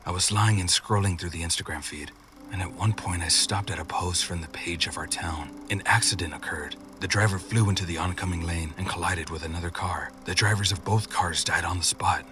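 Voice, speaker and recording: A man's voice: deep voice, fairly quick, recorded from a distance, noisy background. A man narrating a scripted first-person scary story as a horror storyteller.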